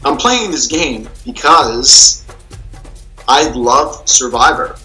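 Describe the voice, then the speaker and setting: Blunt, bright-voiced man in his forties. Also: mid-range voice, expressive, slow pace, soft background music, rough recording. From New Jersey. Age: 40s. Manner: blunt, casual, swears freely. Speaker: contestant. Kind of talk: casual pre-game interview.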